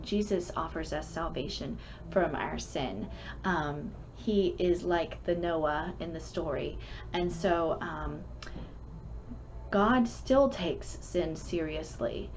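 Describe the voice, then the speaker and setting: A woman reading and explaining a children's story in an earnest, instructive manner. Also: on the low side. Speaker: storybook reader and host of a kids' club. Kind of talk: rhyming picture-book read-aloud, then casual explanation to children. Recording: muffled lo-fi sound with faint background noise.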